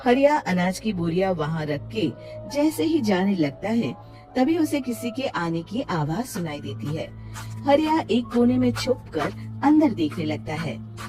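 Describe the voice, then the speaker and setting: Woman, cartoon story narration, expressive, animated pitch, music underneath. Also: measured pace.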